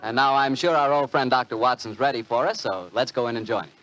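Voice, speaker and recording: A man's voice: medium pitch, quick pace, lo-fi sound, over music. An American man announcing on a 1940s radio show, with a chatty, folksy salesman's manner.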